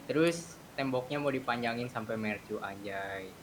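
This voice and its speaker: Casting: slangy male gamer, mid-pitched, casual joking style, other voices clearly behind him. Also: moderate pace. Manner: slangy, mixes in English swearing. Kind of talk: joking casual gaming chat. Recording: voice chat with chatter behind.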